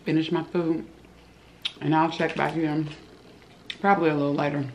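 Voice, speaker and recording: A woman's voice: sits low, little pitch movement, normal speed, a little hiss or hum, low-quality recording. A woman in her thirties chatting casually to her viewers while eating at home, calling them y'all. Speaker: vlogger. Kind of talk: casual eating vlog chat. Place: home living room, indoors.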